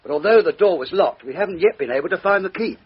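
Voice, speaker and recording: Deferential sergeant, slightly high, lo-fi 1950s radio. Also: male voice, animated, fast, husky, heavily acted. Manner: deferential, reporting.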